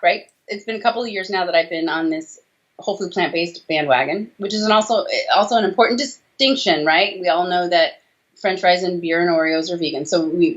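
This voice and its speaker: American woman, forties, lower-pitched voice, emphatic doctor explaining, conversational, slightly muffled video-call sound. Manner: emphatic, animated explaining with rhetorical tag questions. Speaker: doctor, interview guest, author. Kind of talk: conversational interview answers on health. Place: small room indoors, on a video call. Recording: dull video-call audio.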